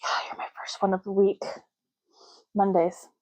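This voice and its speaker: A woman speaking softly in an ASMR roleplay, hushed, unhurried and gently explanatory, close to the microphone. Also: medium pitch, level tone, dry recording, dull audio. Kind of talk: soft-spoken ASMR roleplay.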